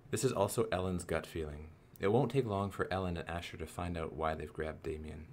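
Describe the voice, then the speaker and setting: A man's voice: sits low, fairly quick, a little mellow. A man narrating a radio play as a storyteller who observes the characters in scripted present-tense prose. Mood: measured narration.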